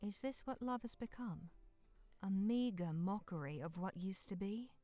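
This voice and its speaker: A woman's voice: medium pitch, expressive, moderate pace, dark tone, no room sound, soft background music, lightly acted. A woman narrating a fantasy visual novel game in a solemn, literary manner as its storyteller.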